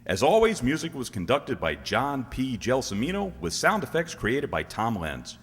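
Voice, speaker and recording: A man working as a radio announcer, reading a scripted announcement in a theatrical style with a husky voice. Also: mid-range voice, huge pitch swings, moderate pace. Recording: reverberant hall.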